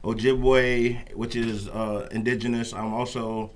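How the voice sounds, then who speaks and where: A man from Minnesota, a guest on a casual show, laid-back and joking, pausing often with "uh". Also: medium pitch, little pitch movement. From Minnesota, USA. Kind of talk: casual interview chat.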